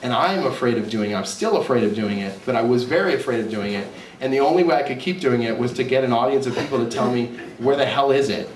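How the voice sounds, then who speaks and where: A man's voice: mid-range voice, animated, fast talker. Man, mid-range voice, informal presenter, candid and self-deprecating, roomy distant recording. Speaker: author and comic artist presenting his work. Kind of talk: informal live talk with slides. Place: indoor cafe event space. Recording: roomy, distant mic, faint background noise.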